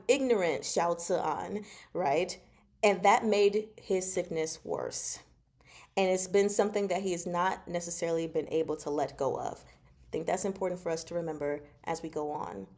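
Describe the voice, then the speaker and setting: A woman giving a casual, chatty book recap as a host, expressive and emphatic, often checking in with the listener. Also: lowish voice. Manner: expressive, conversational, emphatic, often checks in with "okay?" and "you know". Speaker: host of a book discussion vlog.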